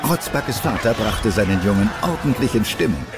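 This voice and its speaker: A man's voice: lowish voice, lively intonation, moderate pace, over loud music. A man narrating a children's adventure radio play as a storyteller, in a scripted style.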